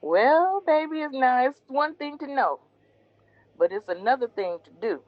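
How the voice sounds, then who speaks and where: A middle-aged actress playing a loving, churchgoing mother who gently urges her grown son to pray. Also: high-pitched, expressive, a little slow. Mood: urging. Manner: gentle, coaxing, preacherly. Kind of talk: audio drama acting. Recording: dry, dull lo-fi sound.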